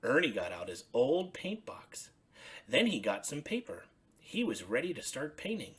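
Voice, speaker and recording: A woman storyteller reading a children's bedtime story expressively, in simple, deliberate phrases. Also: very deep voice, sing-song, husky.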